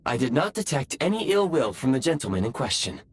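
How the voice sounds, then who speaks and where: A man's voice: medium pitch, sing-song, a little fast, a little hiss or hum, lightly acted. A man voicing a polite, analytical, robot-like guide who speaks formally and precisely in a video game cutscene. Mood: polite, composed. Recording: prominent music behind.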